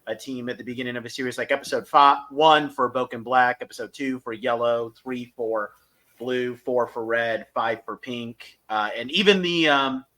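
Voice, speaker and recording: A man's voice: medium pitch, moderate pace, sharp tone. A man co-hosting a podcast, giving an enthusiastic, opinionated fan review in a casual discussion.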